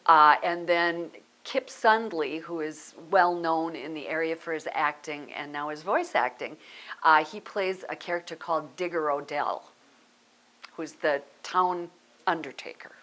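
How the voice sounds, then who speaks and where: Female interviewee, arts director, slightly low register, informal conversational delivery. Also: unhurried, a bit bright. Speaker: theatre group artistic director.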